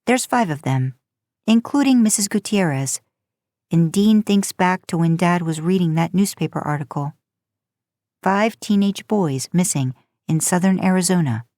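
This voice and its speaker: A woman's voice: fairly low pitch, warm tone, dry recording, clean studio sound. A woman reading a story aloud as scripted narration. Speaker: podcast host narrating fan fiction.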